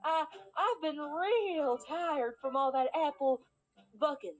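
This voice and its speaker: A very high-voiced, brash wolf pony from a cartoon fairy tale, acted by a woman. She is greedy, folksy and easily exasperated, blustering her way along over clear background music. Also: animated, takes it slowly, rough recording. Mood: sly, faltering. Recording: music behind the voice.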